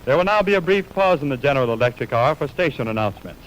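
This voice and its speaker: A man's voice: medium pitch, animated, fast talker. An American man working as a radio announcer, reading a script in a formal, declamatory 1920s style. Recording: noisy 1929 radio aircheck on film.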